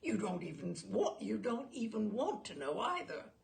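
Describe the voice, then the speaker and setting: Woman voicing a pompous, exasperated husband, creaky and animated. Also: mid-range voice, normal speed, slight background noise. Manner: pompous, indignant, lecturing. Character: blustering, exasperated husband, stern household disciplinarian. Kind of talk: literary story reading.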